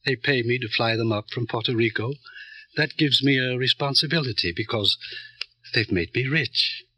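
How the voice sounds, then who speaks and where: A very sharp, forceful male voice of middling pitch, recorded dry. a calculating, pompous middleman from an old radio crime drama, smooth and deliberate, talking down to whoever is in front of him. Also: dry recording, rough recording. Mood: self-satisfied. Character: smug, calculating middleman who runs the barrio.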